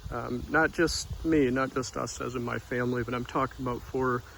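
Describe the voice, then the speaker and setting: A soft-spoken man giving an informal devotional talk outdoors near a street, in a quiet, unhurried manner. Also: mid-range voice, steady tone, gravelly, a little hiss or hum, poor audio. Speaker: devotional vlogger. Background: passing cars.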